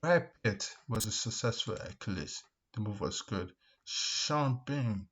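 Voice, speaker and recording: Husky low-voiced man, repetitive and halting. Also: expressive, fairly bright tone. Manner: repetitive, emphatic, halting with restarts. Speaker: video host, commentator. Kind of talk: casual opinion commentary, reacting to comments. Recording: dull, lo-fi microphone sound.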